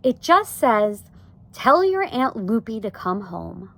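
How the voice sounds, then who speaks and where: A woman's voice: on the high side, sing-song, takes it slowly, vocal fry, a bit bright, voice pushed up, exaggerated voice. A woman reading a storybook aloud, voicing an earnest young daughter who speaks in a defensive, pleading, plain way. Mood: subdued. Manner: protesting, plain-spoken.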